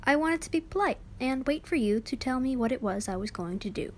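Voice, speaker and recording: A woman's voice: sits high, expressive, fairly quick. A woman playing a meek, polite, lonely male loser in a comic radio play. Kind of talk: radio play comedy acting.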